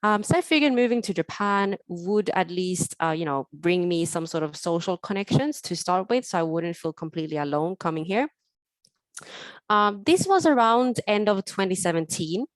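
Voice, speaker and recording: A woman's voice: fairly low pitch, expressive, normal speed, no echo. A young woman raised in Sweden, giving an online guest talk with frequent ums and restarts. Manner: hesitant, many ums and restarts. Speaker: guest speaker.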